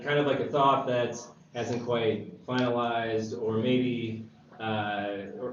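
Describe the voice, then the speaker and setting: A man casually briefing a group as an organiser, with informal, laid-back phrasing. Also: mid-range voice. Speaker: screenwriter and table read organiser. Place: echoey indoor room with a group. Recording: dull, echoey, noisy room recording from far off.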